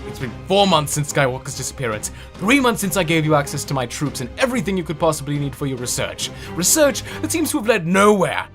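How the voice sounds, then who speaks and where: A man's voice: on the high side, big pitch swings, quick pace, hardly any pauses, a bit bright, faint music behind. A man voicing a haughty, irritable space opera general in a comic dub, speaking in a clipped, formal, imperious manner. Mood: indignant, scornful. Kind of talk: dramatic voice acting in a comic dub.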